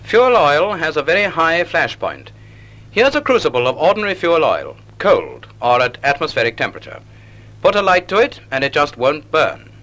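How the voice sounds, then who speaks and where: A British man narrates a vintage 1940s instructional film in a clipped, didactic manner. His voice sits a little high and has a bright, forceful edge. The intonation moves in lively swings as he explains each point from a script. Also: moderate pace.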